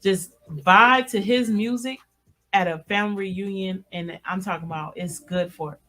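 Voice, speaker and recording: A woman co-hosting a radio show, teasing and quick to react in casual banter. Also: medium pitch, pushed and bright.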